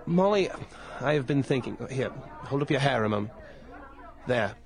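Man playing a lively, reserved English lord. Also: mid-range voice, normal speed, a faint crowd, lightly acted. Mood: hesitant. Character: English lord, reasonable, evasive, reserved aristocratic gentleman. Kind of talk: period audio drama acting.

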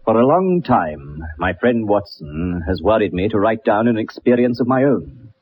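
An actor playing a haughty, precise gentleman detective with a British accent in a radio drama, speaking in formal, pompous phrases. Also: on the low side, sing-song, moderate pace, slight background noise. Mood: reflective, dry. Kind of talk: radio drama acting with first-person narration. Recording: dull lo-fi old radio recording.

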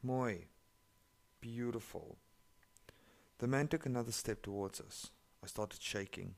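A man's voice: medium pitch, measured pace, gravelly. A man, not an English speaker, reading online stories aloud and breaking in with sarcastic, profane commentary. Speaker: story reader.

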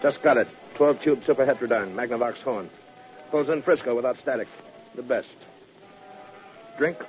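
Jealous gangster boss male actor, American, medium pitch, insistent clipped threats, period radio drama, muffled vintage recording. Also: moderate pace, faint music behind, lightly acted. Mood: smug. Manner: terse, insistent, interrogating in short bursts. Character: menacing male bootleg-era gangster boss, boastful, jealous husband. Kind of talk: 1950s radio crime drama acting. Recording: dull, noisy vintage radio broadcast.

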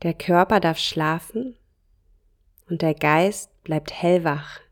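Slow, calm woman guiding yoga nidra. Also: lowish voice. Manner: slow, soft and calm, with pauses between short phrases. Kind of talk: guided relaxation meditation.

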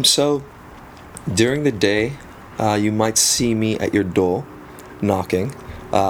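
A man in his thirties with a South African accent, a native Afrikaans speaker, talking casually as an interview guest with relaxed pauses and frequent ums. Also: on the low side, a little hiss or hum. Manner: relaxed, frequent ums, self-deprecating humor. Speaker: guest, photographer.

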